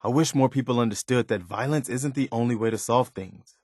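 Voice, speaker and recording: An actor playing an earnest, loyal American militia companion in a video game, speaking in an easygoing, plainspoken way. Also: male voice, medium pitch, brisk, darkish tone, no echo, clean studio sound, natural voice. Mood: gently concerned. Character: earnest, principled militia companion, adult man, loyal and caring.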